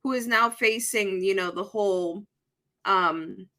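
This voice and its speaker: Bright-voiced woman with a Dominican accent. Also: mid-range voice, unhurried. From Puerto Rico and the Dominican Republic. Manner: blunt, animated storytelling with casual swearing. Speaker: podcast co-host. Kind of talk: casual chat.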